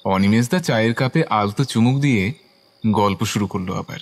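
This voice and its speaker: A man playing a genial narrator, reading a scripted audio story with expressive delivery. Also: lowish voice, moderate pace, background noise, natural voice. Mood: genial, storytelling.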